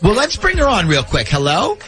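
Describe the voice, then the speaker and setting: A man's voice: high-pitched, huge pitch swings, brisk, sharp tone, faint music behind. A man hosting a casual morning radio show, talking through a prank call.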